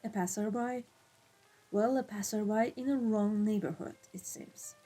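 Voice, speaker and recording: Husky, dark-voiced female vampire mafia boss, acted by a woman; cold, imperious, measured ASMR roleplay over music. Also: medium pitch, close to an everyday voice. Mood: intrigued, probing. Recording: music behind the voice. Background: classical background music.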